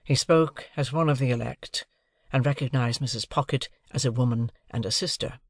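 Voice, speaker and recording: A man narrates literary fiction for an audiobook. His mid-range voice has a noticeable gravelly creak. The recording is close and dry. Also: mid-range voice, moderate pace, no room sound.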